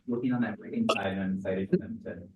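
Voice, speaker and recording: A man's voice: on the low side, steady tone, fast talker, phone-quality sound, slight background noise. A man hosting an event, giving an upbeat, rambling introduction of the speakers. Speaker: event organizer and host. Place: event venue. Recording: roomy, distant mic in a venue.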